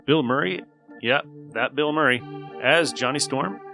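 A man's voice: medium pitch, unhurried, vocal fry, over music. A man hosting a podcast, giving a casual, folksy, chatty introduction. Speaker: podcast host.

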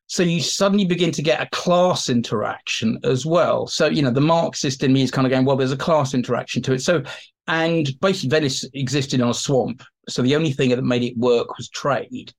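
A man with a mid-pitched voice talks as an interview expert. He explains history informally and conversationally, dropping in playful asides and little acted quotes as he goes. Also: brisk, gravelly. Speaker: expert guest.

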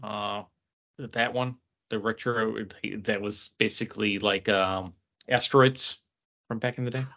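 A man co-hosting a podcast, talking in a halting, self-deprecating casual way. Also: on the low side, steady tone, fairly bright tone, muffled recording. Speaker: podcast co-host. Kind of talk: casual chat.

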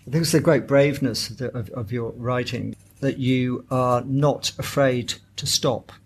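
A man moderating a book event, speaking conversationally with halting, ruminative phrasing. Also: mid-range voice, slight background noise.

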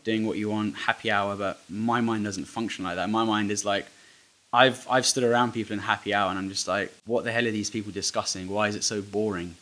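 Male host, lowish voice, candid opinionated chat. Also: fairly even intonation, a little fast, a bit bright. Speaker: podcast host. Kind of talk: casual interview chat.

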